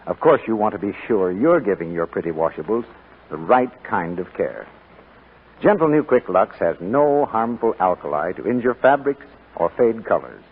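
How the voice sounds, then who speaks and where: A male announcer delivers a scripted 1940s radio advertisement. His voice is on the low side and rough-edged, with lively swings in pitch, over a narrow lo-fi broadcast. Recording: muffled 1940s radio recording.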